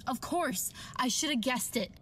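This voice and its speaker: An actress playing a defiant, frightened woman doctor in an old-time radio drama, speaking deliberately and dramatically. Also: sits high, animated, a bit bright. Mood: defiant, tense. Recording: very noisy, with clicks.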